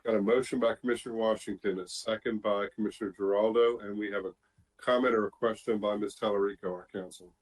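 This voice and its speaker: A man's voice: on the low side, steady tone, fast, rough recording. A man presiding as chair over a public board meeting, moving through procedure in an orderly but informal way.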